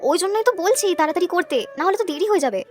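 Cartoon village wife, played by a woman, very high voice, practical and caring, rapid homely chatter over music. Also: lively intonation. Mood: busy, friendly. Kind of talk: cartoon voice acting.

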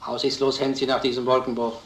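An actor playing a brisk, authoritative police lieutenant in a crime drama, giving curt commands. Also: male voice, medium pitch, little pitch movement, lightly acted. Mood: businesslike. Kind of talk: German film crime drama acting. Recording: echoey old film soundtrack, noisy.

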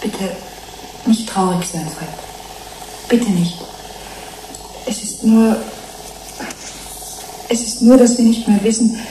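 An actress playing a gentle, resigned young fish-human hybrid in a German radio drama, speaking haltingly and pleadingly. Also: female voice, on the high side. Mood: sad, pleading. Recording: roomy echo, background noise.

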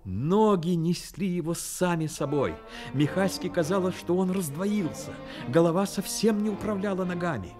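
A man's voice: high voice, normal speed, hardly any pauses. Male radio drama narrator over music.

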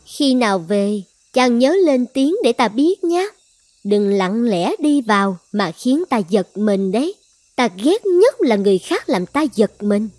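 Possessive, high-voiced young sorceress. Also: female voice, expressive, unhurried, a little mellow, no room sound. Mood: wary, indulgent. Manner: commanding, self-assured. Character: possessive young island sorceress, coaxing and threatening. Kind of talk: dubbed fairy-tale cartoon acting. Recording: music behind the voice.

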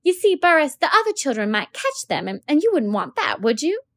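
A woman's voice: very animated, brisk, a bit bright, no room sound. A woman reading aloud, voicing a flustered young schoolteacher who stammers nervously. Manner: nervous, stammering, high and breathless.

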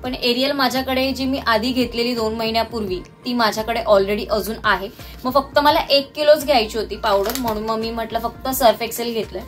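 A woman speaks in a fairly high voice. She talks casually to her viewers, going through things one by one in an explanatory way. Faint music plays behind her as she records in a room at home. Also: a little fast. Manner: explanatory, itemising. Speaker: vlogger. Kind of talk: casual product haul chat to viewers. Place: small indoor room at home, seated on the floor.

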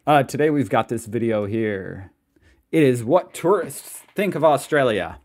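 A man's voice: mid-range voice, lively intonation, measured pace, a little mellow. A young American man hosting a reaction video, talking casually with rambling, self-questioning asides from a small room. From United States.

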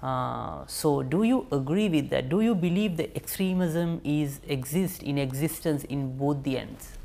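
Creaky, high-pitched-free young Sri man, halting. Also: lively intonation, dark tone. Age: young adult. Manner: tentative, halting, roundabout phrasing. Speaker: interviewer, host. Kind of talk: television interview questioning. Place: furnished indoor interview room. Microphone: lapel mic.

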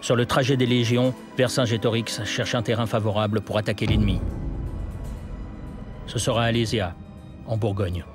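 Male history doc narrator, lowish voice, grave and measured, scripted, big loud music underneath. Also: fairly even intonation. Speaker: documentary narrator.

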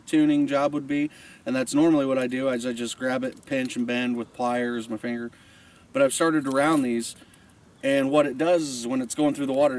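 A man in his thirties walks through a fishing technique in a plain, practical way, handling gear as he talks. He is recorded outdoors by a camera mic a few feet away, so his voice sits a little distant, with faint open-air noise behind it. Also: mid-range voice. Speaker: fishing instructor. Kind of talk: casual outdoor instructional demo. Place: outdoors on a boat and a snowy riverbank.

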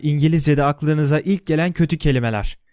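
Turkish guy narrating an explainer, slightly high voice, really dry close sound. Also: a little fast, a little mellow, dry recording. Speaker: video narrator.

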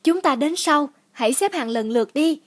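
A humble young boxing champion voiced by a woman speaks in a high, calm, even-tempered way. It is cartoon dubbing with faint music. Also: normal speed.

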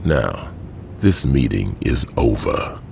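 A cold, authoritative gang boss in a video game cutscene. His voice is extremely deep and full of gravelly fry. He speaks in a measured, stern way, like an older man used to obedience. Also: animated, very noisy, heavily acted. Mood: cold, businesslike.

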